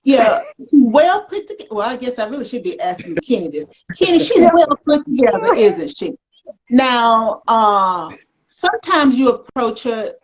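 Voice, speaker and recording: A woman's voice: fairly high pitch, big pitch swings, measured pace, highs cut off. An American woman hosting a casual interview in a chatty, playful, folksy way. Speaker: talk show host.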